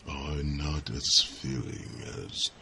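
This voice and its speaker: Gravelly, abyss-deep old dragon. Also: male voice, steady tone, background noise, exaggerated voice. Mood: hungry, languid. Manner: slow, drawn-out, pausing, rumbling hums. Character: huge old dragon, lazy, hungry, ponderous companion. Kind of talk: ASMR fantasy roleplay.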